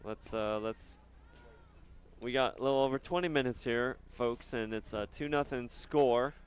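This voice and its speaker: A man doing live soccer commentary outdoors, chatting casually and haltingly between plays. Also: medium pitch, clean tone. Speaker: sports play-by-play announcer.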